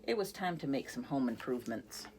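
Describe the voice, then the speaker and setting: Low-voiced woman reading a storybook. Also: brisk, noisy background. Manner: expressive, speaks to young listeners. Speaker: reader. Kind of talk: reading a picture book aloud.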